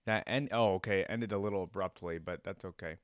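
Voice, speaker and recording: A man's voice: low voice, quick pace, very noisy. A man giving casual reaction commentary as host of a video, informal and thinking aloud. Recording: music playing over the voice. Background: game theme music.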